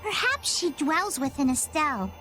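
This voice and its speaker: A woman's voice: high-pitched, big pitch swings, normal speed, bright, forceful tone, faint music behind, full character voice, rough recording. A woman voicing a quaint, plucky little girl pirate with flowery seafaring speech in a video game. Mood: musing.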